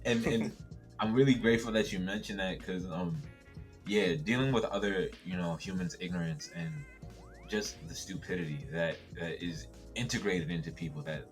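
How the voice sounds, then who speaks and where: A young American man talking as a podcast guest, relaxed and rambling, with lots of fillers and casual swearing. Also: low voice, normal speed. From United States. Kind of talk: casual chat. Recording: music playing underneath.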